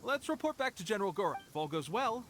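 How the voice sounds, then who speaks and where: An animated, high-voiced young soldier. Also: male voice, quick pace, a little hiss or hum. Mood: grumbling, eager. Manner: boastful, animated. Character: eager young male resistance soldier, proud, restless, tired of logistics duty. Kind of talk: anime-style game voice acting. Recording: music behind the voice.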